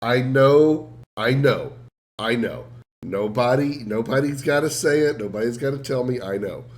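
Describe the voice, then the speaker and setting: A man streaming a game while sick, sounding rough and congested, rambling self-deprecatingly and answering his chat. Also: medium pitch, a little slow. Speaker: game livestreamer. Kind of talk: casual livestream chat.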